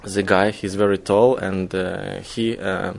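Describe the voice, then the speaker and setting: A man with a Ukrainian accent answers interview questions conversationally. His voice is fairly low with heavy vocal fry. As a non-native English speaker, he moves haltingly and searches for his words. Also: little pitch movement. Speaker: filmmaker being interviewed.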